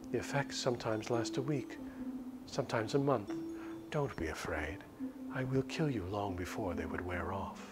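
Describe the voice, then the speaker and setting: A man's voice: fairly low pitch, expressive, moderate pace, over loud music, lightly acted. A man voicing a sinister, falsely sweet vampire woman who toys with her captive, in a visual novel. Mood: coolly menacing. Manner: pompous, falsely sweet, menacing.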